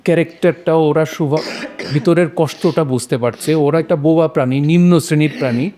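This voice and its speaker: A man's voice: a little high, a little fast, warm tone. A man explaining a literary point, repeating phrases for emphasis. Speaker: panelist.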